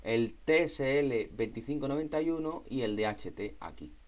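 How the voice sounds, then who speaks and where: A man's voice: mid-range voice, expressive, normal speed. A man with an accent from Spain giving an informal, step-by-step technical explanation as an instructor, repeating phrases and often checking in with short tags. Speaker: tutorial instructor.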